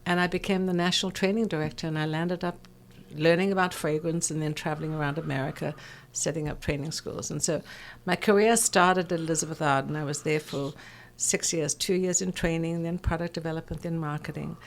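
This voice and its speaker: A woman's voice: low voice, fairly even intonation, brisk, a little hiss or hum. A woman in her sixties with an English South African accent, telling personal stories conversationally as an interview guest in a theatrical way. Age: 60s. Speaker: interview guest, fragrance expert.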